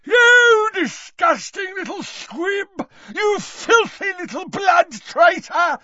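A man voicing a menacing, proud old father in a storybook reading, with a forceful, hissing delivery. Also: medium pitch, steady tone, slow pace, dry recording, highs cut off, voice pushed up. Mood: enraged contempt. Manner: hissing, forceful, explodes into shouting.

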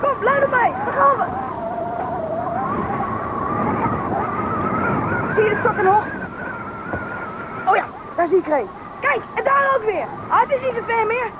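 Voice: extremely high pitch, animated, a little fast, pauses often, a loud crowd. An actor playing a frightened young boy lost in a snowstorm, in a Dutch radio drama. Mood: urgent, relieved. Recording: noisy radio play with storm ambience.

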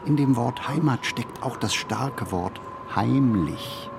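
A man giving an expressive, deliberate literary reading, with emphatic phrasing and dramatic pauses. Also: mid-range voice, gravelly, noisy background. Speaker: reader of a literary text.

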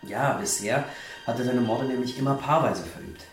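An actor playing a chatty, confident police officer on a murder case in a radio drama. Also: male voice, medium pitch, normal speed, roomy sound, soft background music, close to an everyday voice. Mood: matter-of-fact.

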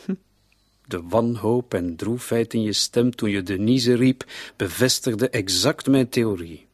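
An actor playing a shrewd, taunting police commissioner interrogating a suspect in a radio play. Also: male voice, fairly low pitch, a little slow, dry recording, clean studio sound. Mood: gloating. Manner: sardonic, taunting, with rhetorical tags.

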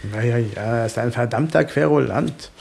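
A man's voice: mid-range voice, moderate pace, creaky, darkish tone. An actor playing an exasperated, cold-hearted gangster boss who grumbles and presses his henchman to agree, in a satirical German radio crime drama. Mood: grim, scheming.

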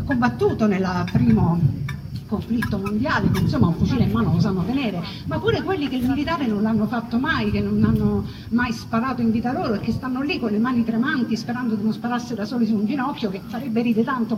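A woman with a Roman Italian accent delivering a theatrical historical monologue, with emphatic repetition, rhetorical questions and wry colloquial asides. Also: on the high side. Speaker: storyteller performer. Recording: noisy, distant live recording with ambience.

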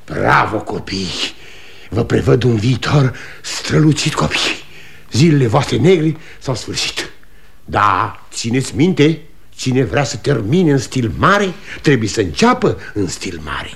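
A man's voice: mid-range voice, big pitch swings, creaky, fairly bright tone, slight background noise. An actor playing a proud, domineering aging salesman father who lectures his sons, in a 1960s radio drama. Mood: lecturing. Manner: bossy, lecturing, quick to anger. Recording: old studio radio recording.

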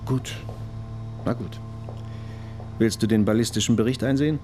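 An actor playing a level-headed police detective in a radio crime drama, speaking in a measured, terse, firm way. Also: male voice, fairly low pitch, warm tone, soft background music, close to an everyday voice. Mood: careful, concerned. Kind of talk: naturalistic German radio crime drama acting.

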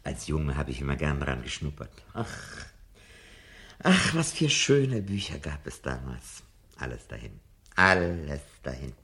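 An old, disillusioned man of books in a radio drama. His deep voice is gravelly and swings widely in melody. He speaks theatrically, brooding and sardonic, like a self-confessed coward looking back. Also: very animated, a little slow, fairly bright tone, full character voice. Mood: nostalgic, wistful. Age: older. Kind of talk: 1970 German radio drama acting.

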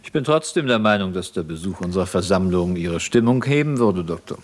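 An actor playing a zealous, self-assured revolutionary commander in a German radio play, didactic and needling. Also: male voice, low-pitched, animated, brisk. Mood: confident.

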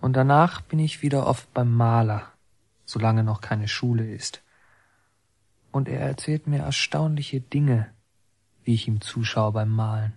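A son narrating his childhood in a radio drama. The voice is husky and mid-pitched, recorded dry. He observes each detail in literary present tense. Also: unhurried, darkish tone, no room sound. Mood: observant, restrained. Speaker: first-person narrator.

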